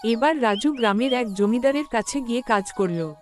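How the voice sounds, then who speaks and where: High-voiced woman narrating a moral story. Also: normal speed, soft background music.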